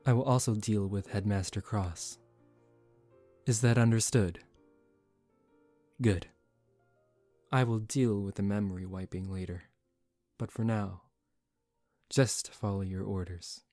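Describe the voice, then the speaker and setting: A man playing a composed young aristocratic vampire in a soft-spoken ASMR roleplay, calm, formal and gently commanding, protective yet secretive. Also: fairly low pitch, unhurried, pauses often, no echo, faint music behind. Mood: cool, commanding.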